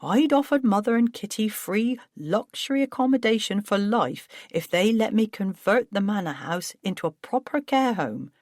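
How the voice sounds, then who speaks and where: A woman's voice: mid-range voice, lively intonation, normal speed, few pauses, darkish tone, dry recording, studio quality, pitched above the performer's own voice, lightly acted. A woman voicing a flustered, self-pitying middle-aged businessman who turns defensive and agitated. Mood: exasperated. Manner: agitated, defensive, rising to outbursts.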